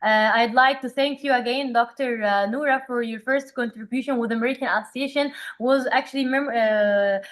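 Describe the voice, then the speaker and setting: Higher-voiced woman moderating, halting. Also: steady tone, a bit bright. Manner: halting, courteous. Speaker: session moderator. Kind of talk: formal thanks and hosting.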